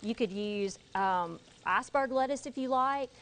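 A woman's voice: fairly high pitch, normal speed, a bit bright, slight background noise. A woman, a dietitian explaining a recipe on a TV cooking segment in a polite, eager way. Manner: polite, eager, agrees often with yes sir. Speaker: registered dietitian guest. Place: TV studio kitchen set.

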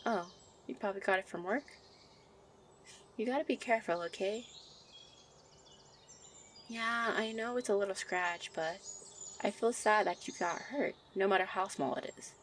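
A woman voicing a shy, gentle young nature fairy who teaches affectionately in a soft ASMR roleplay. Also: mid-range voice, moderate pace, pauses often, slight background noise, close to an everyday voice. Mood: tender concern. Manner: soft, encouraging, affectionate, giggly. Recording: birdsong and outdoor ambience behind. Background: birdsong ambience.

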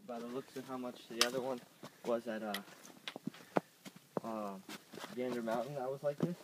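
A man's voice: mid-range voice, fairly even intonation, normal speed. A teenage boy hiking with his father in snowy woods outdoors, making dry, offhand remarks from off camera. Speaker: hiker's son. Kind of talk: casual chat. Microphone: off-camera, away from the mic. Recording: distant voice, loud outdoor noise.

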